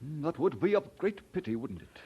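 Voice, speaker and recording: High, husky, earnest preacher. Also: male voice, sing-song, fairly quick, exaggerated voice. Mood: thoughtful, concerned. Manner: earnest, courteous. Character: earnest, kindly, humble young male Scottish preacher. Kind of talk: radio drama acting. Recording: dull old radio drama recording.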